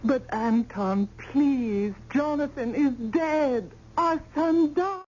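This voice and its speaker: An actress playing a bereaved mother in an old radio drama, pleading slowly with anguish. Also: high-pitched, a little mellow. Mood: grieving, pleading. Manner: slow, drawn-out pleading. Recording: dull lo-fi old radio recording.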